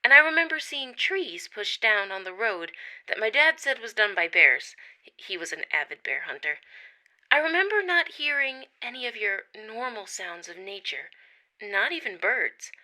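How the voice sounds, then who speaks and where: A woman reading a first-person account of a strange encounter, dropping to hushed tones at tense moments. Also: medium pitch, big pitch swings, moderate pace, low-quality recording. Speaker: witness reading her account.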